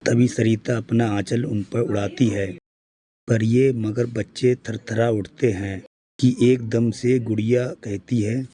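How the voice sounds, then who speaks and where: A man's voice: mid-range voice, steady tone, takes it slowly, warm tone, breathy edge. A man narrating a scripted moral story for a cartoon.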